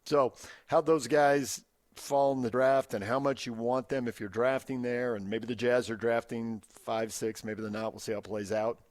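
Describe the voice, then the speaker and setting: Male sports radio host, conversational with emphatic announcer delivery, middling-low pitch, very dry recording. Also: fairly even intonation, moderate pace, no room sound.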